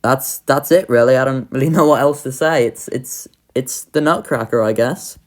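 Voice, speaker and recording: A woman's voice: very deep voice, moderate pace, a little mellow. A woman giving casual, opinionated video commentary as a host, informal and sardonic in manner. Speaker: video host ranking children's shows.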